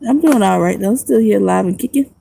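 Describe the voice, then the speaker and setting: A woman's voice: mid-range voice, a little fast, vocal fry, warm tone, breathy edge. A woman who is a homeless vlogger and music producer, chatting casually and rambling in slang on a phone livestream outdoors at night.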